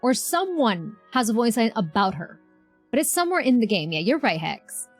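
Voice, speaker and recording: A woman's voice: on the high side, big pitch swings, normal speed, no room sound, faint music behind. A woman livestreaming a game, chatting casually with viewers in an effusive, exclamatory way. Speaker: game livestreamer reacting to a quest. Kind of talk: casual livestream chat and reactions.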